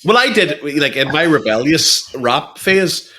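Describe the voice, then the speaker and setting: Man, podcast co-host, wry and animated, bright tone. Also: fairly high pitch, measured pace. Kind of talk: casual banter.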